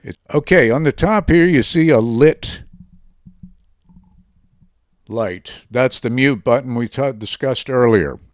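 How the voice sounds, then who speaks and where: Chatty deep-voiced man reviewing a mic. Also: slow pace, long pauses. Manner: chatty, loose, with frequent fillers. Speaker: microphone reviewer. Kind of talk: casual product review to camera. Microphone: studio microphone under test.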